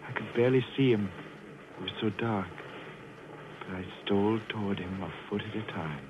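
A man's voice: fairly low pitch, noisy background, close to an everyday voice. An actor playing a nervous male murderer who narrates his crimes in 1940s radio drama style, growing frantic. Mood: hushed. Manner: slow, then frantic and unravelling. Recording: muffled old radio broadcast with music.

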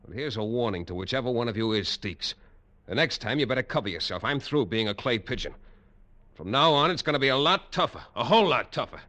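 A man's voice: mid-range voice, animated, fast talker, sharp tone. A man narrating a 1950s adventure radio drama in the first person, with tense, hard-boiled delivery. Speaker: lead actor and narrator. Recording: dull old radio recording, faint music.

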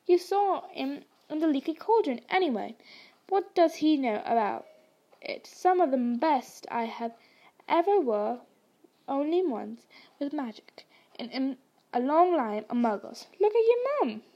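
A woman reading aloud and voicing a big, gruff, kindly male gamekeeper in a loud, hearty voice. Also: sits high, big pitch swings, unhurried, highs cut off, exaggerated voice.

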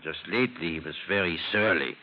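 A man playing a smooth, politely evasive dealer with formal, measured phrasing in a 1940s radio drama. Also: low-pitched, natural voice. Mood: formal, obliging. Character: smooth, accommodating dealer in valuable pieces, subtly evasive. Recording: old lo-fi radio drama recording.

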